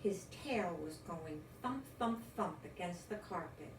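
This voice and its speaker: Older lady reading kids stories, gentle n expressive, a bit far from the mic, faint noise. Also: fairly low pitch. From United States. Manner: slow, gentle, expressive, encouraging. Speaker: storyteller reading picture books to children. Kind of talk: read-aloud children's story with chatty asides. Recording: roomy echo, distant mic, faint noise.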